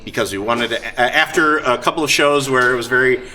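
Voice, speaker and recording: A man hosting a live show, talking casually and off the cuff, with enthusiasm, halting asides and frequent hesitations. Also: mid-range voice, sharp tone. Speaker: host of a live-streamed tabletop roleplaying show. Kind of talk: casual off-the-cuff talk to an audience. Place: live event room at a gaming convention. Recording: live convention room with crowd noise.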